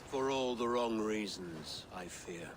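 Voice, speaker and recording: An actor playing an anxious, earnest Saxon would-be king in a video game, grave and measured. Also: fairly low pitch, animated, noisy background. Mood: troubled. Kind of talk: dramatic video game acting.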